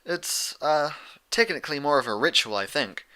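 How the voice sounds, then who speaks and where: A bookish young mare, played by a man, with a raised, bright voice. The unicorn sounds fussy and a little awkward, hesitating as she explains in this cartoon storybook reading. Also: a little high, animated, moderate pace, clean tone, pitched above the performer's own voice. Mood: hesitant. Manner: hesitant, filler-laden explaining.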